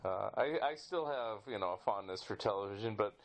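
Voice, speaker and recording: Slightly low-voiced male podcast host, rambling. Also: lively intonation, fairly quick. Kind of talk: casual interview chat.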